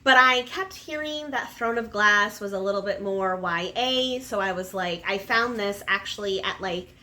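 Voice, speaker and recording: A woman's voice: mid-range voice, moderate pace, sharp tone, slight background noise. A woman giving a casual, enthusiastic review to camera as a vlogger, stressing and repeating words for emphasis.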